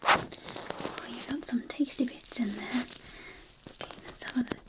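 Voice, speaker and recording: A woman's voice: on the high side, steady tone, measured pace, lightly acted, rough recording. Woman as whispering, rambling zombie doctor. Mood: hungry, apologetic. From Australia. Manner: hesitant, rambling, defensive asides. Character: cheeky, rambling brain-eating zombie doctor, easily offended. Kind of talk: ASMR roleplay.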